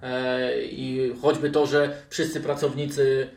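A man's voice: medium pitch, flat delivery, slow pace, gravelly, a little mellow. A man hosting a football discussion podcast, steering the talk with hesitant questions and asides. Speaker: podcast host. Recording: roomy, distant microphone.

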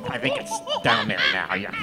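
A man's voice: on the low side, wildly swooping pitch, moderate pace, over music. A man playing a nervous, hesitant zookeeper who mumbles reluctantly in a radio comedy. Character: Chuck, reluctant nervous male night-shift zookeeper.

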